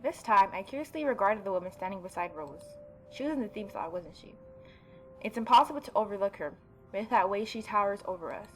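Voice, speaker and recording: A woman's voice: medium pitch, lively intonation, brisk, slight background noise, low-quality recording. A young adult woman doing a casual let's play, reading game text aloud and commenting informally. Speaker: gaming YouTuber.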